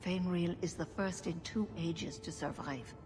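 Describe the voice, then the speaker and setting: Elven keeper for a fantasy game, female actor: low, measured, solemn teacher. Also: over music, close to an everyday voice. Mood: grave, instructive. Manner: formal, measured, grave. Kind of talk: video game voice acting. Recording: noisy game ambience behind.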